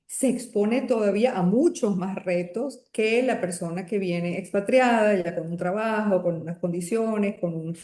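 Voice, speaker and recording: A woman's voice: medium pitch, moderate pace, few pauses, dark tone, roomy sound. A woman from Venezuela with a Venezuelan accent, a guest on a podcast interview, speaking reflectively with frequent restarts and hesitations.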